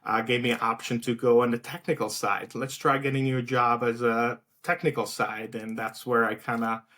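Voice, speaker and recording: A man's voice: mid-range voice, moderate pace. A man from South Africa with a light South African accent, a guest talking casually in an interview with many fillers. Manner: relaxed, many fillers and restarts. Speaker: guest, outreach director.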